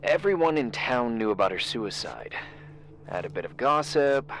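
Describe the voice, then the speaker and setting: A subdued, sympathetic local man in a story game. His voice is gravelly and mid-pitched, with lively inflection in natural dialogue. Faint noise sits behind him. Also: normal speed. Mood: matter-of-fact.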